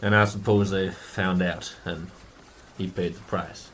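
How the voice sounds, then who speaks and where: A man's voice: sits low, fairly even intonation, a little slow, a bit bright, a little hiss or hum. A man playing a modest, world-weary private detective in a noir radio drama, talking in an informal, folksy way. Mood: probing.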